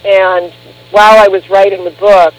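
A woman's voice: medium pitch, flat delivery, moderate pace, rough recording. A woman guest on a radio interview, telling her story emphatically and with animation.

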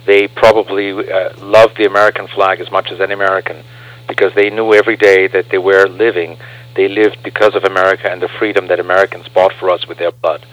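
A man's voice: sits low, little pitch movement, fast talker, fairly bright tone, poor audio. An earnest man, a guest on a radio interview, explaining his views through rhetorical questions. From Austria.

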